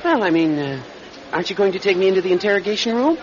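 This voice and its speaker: An excitable, fussy man with a high voice that swings widely. He is self-important and insistent, stumbling as he pleads, in an old radio drama. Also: fast talker. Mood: pleading, agitated. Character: agitated, self-important man eagerly confessing to murders. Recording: dull lo-fi radio recording, heavy noise.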